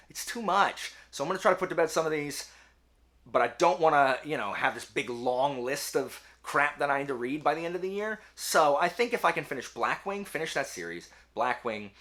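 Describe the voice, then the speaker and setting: A man's voice: medium pitch, a bit bright. A man chatting casually to viewers about his reading in an informal, rambling, slangy way, as host of his own video. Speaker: book reviewer, video host.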